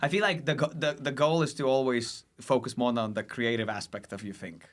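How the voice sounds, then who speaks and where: A man's voice: mid-range voice, fairly even intonation, fairly quick. A man from Lithuania, a comedian guest chatting casually and reflectively in a conversational way. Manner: reflective, conversational, frequent 'like' and 'you know'. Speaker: stand-up comedian, podcast guest. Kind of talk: casual chat.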